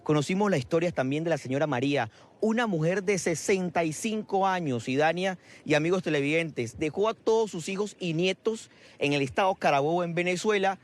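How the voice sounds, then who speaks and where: A man's voice: a little high, fairly quick. A male field reporter, reporting live outdoors at a border bridge and interviewing people.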